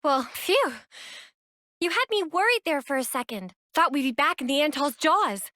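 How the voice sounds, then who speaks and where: A woman voicing an excitable, brash young female fighter in a video game, talking in a colloquial, informal way. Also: high-pitched, moderate pace, no echo, studio quality. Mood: brash, eager. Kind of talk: video game cutscene voice acting.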